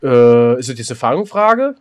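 A man's voice: mid-range voice, sing-song, a little slow, darkish tone. A man co-hosting a joking podcast, trading dry, teasing banter. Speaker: podcast co-host. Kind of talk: joking casual chat.

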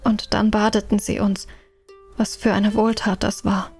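Gravelly-voiced woman narrating. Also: medium pitch, level tone, unhurried, darkish tone, soft background music. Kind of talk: scripted first-person narration.

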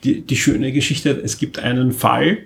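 A man's voice: medium pitch, steady tone, unhurried, gravelly. A man co-hosting a podcast in casual chat, wordy and enthusiastic, piling up emphasis in long monologues. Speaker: podcast co-host.

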